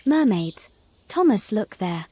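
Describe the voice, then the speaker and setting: A woman's voice: mid-range voice, sing-song, slow pace, mellow, slight background noise. A woman playing a naive, curious mermaid in a fantasy audio drama, wondering and questioning in simple words. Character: curious, naive mermaid new to the human world.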